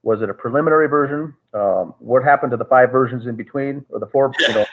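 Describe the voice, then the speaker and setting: A man, a guest on a call, recounting events in a measured, halting way with frequent restarts. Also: medium pitch. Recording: tinny phone-like call audio.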